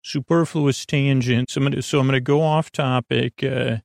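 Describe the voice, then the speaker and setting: A man with a creaky voice hosts a sleep podcast, rambling through a bedtime monologue with halting repetitions and drawn-out words. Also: mid-range voice, fairly even intonation, a little mellow, dry recording, clean studio sound. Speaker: podcast host. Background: music.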